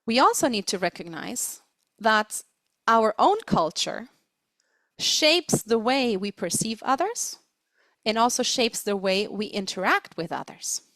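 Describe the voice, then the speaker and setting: A woman giving an explanatory webinar lecture as a trainer, speaking deliberately and stressing her key words. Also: on the high side, sing-song, a bit bright, dry recording. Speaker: webinar presenter, interpreting trainer.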